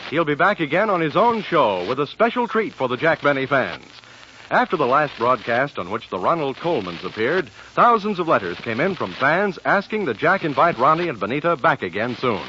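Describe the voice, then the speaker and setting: An American male announcer from 1940s network radio, reading scripted promos and credits. His voice is bright and forceful with lively pitch swings, on a dull vintage recording. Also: slight background noise.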